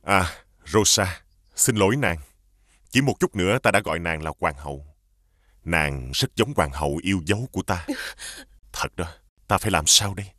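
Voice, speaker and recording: An actor playing a grieving widowed king who speaks gently to his children and their aunt. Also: sits low, animated, normal speed, long pauses, dry recording, clean studio sound, full character voice. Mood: gentle. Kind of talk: dubbed cartoon acting.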